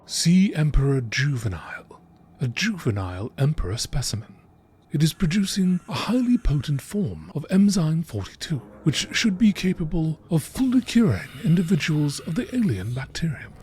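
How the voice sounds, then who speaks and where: A man narrating scripted nature documentary entries in a measured, formal, deliberate way, with a husky, breathy voice. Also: on the high side, big pitch swings, a little mellow, slight background noise. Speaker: narrator reading game lore entries.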